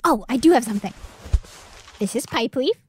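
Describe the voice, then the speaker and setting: A woman's voice: fairly high pitch, lively intonation, moderate pace, a little hiss or hum, natural voice. A woman playing a naive, earnest young girl herbalist in a fantasy audio drama. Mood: bubbly, eager. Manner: childlike, earnest, guileless.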